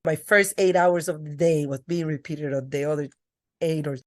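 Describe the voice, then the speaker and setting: A woman's voice: deep voice, fairly even intonation, normal speed. A middle-aged woman from the Republic, a podcast guest telling her life story earnestly, with restarts. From Dominican Republic. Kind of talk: podcast interview.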